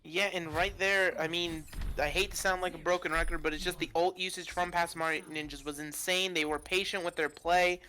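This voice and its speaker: A man's voice: on the high side, brisk, few pauses, a little hiss or hum, poor audio. A man doing color commentary, breaking down team strategy between fights. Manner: relaxed analytical breakdowns. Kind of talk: esports analysis commentary.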